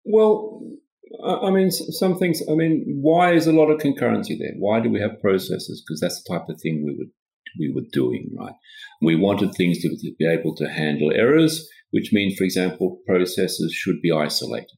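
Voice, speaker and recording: A man's voice: expressive, creaky, a little mellow, rough recording. A man from Sweden who grew up in Australia, a guest explaining ideas over a remote call, hesitant with frequent restarts. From Sweden, raised in Australia. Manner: halting, restarts often, rising pitch on openers. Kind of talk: casual technical interview.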